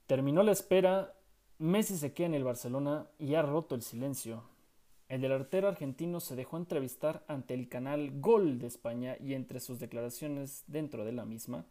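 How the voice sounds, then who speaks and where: A man's voice: medium pitch, mellow. A young man hosting a sports commentary show, reading from a script in an opinionated way and asking many rhetorical questions. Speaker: sports podcast host.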